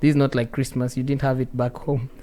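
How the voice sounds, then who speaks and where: A man's voice: medium pitch, little pitch movement, fairly quick, warm tone. A man with a Kenyan accent hosting a casual radio interview in a chatty way, mixing in Swahili phrases. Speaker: radio show host.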